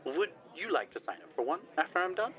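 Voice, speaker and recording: A man's voice: sits high, big pitch swings, quick pace. A man playing a wary, sardonic reluctant adventurer in an audio drama, with hesitant, dry, formal wording. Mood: wry, defensive. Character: wary, sardonic man reluctantly dragged into dangerous paranormal missions. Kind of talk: audio drama phone-call acting. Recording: dull lo-fi sound, typing.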